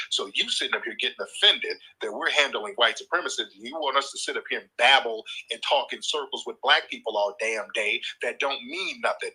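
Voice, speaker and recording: A man's voice: mid-range voice, pushed and bright, breathy edge, rough recording. A man hosting a live call-in show, lecturing and arguing combatively, swearing freely and talking over the caller. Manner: combative, lecturing, repeats points. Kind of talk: heated live call-in argument.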